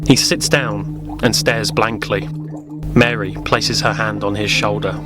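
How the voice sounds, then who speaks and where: Low-pitched male narrator over loud music. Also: steady tone, a little slow. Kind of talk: scripted narration of audio drama parody.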